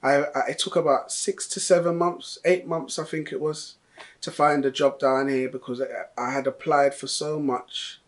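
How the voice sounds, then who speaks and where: Young man, earnest and halting. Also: on the high side, fairly even intonation. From London. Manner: earnest, repeats and restarts words. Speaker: delivery driver. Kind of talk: interview testimony.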